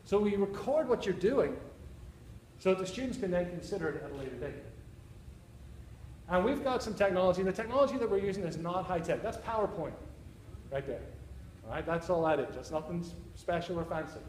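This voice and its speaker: A man's voice: high voice, expressive, brisk, pauses often, a little mellow, slight background noise. A man with a Northern Irish accent giving a conference talk to teachers, joking and often checking in with "all right?" and "okay?". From Northern Ireland. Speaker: presenter. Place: conference room. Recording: echoey room, faint ambience.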